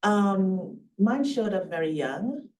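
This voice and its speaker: A woman's voice: medium pitch, lively intonation, slow pace, a little mellow, recorded from a distance, poor audio. A woman with a Jamaican accent, raised in Canada, speaking earnestly and thoughtfully as a panelist. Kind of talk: panel discussion.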